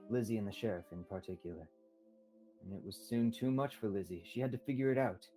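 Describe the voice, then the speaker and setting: A man's voice: lowish voice, fairly quick, mellow, soft background music. A young man reading scripted narration for a radio-style mystery drama. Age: young adult.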